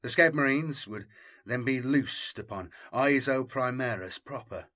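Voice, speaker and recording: A man reading a military science fiction story as dramatic scripted narration, in a measured, formal storytelling manner. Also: medium pitch, sharp tone. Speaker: audiobook narrator.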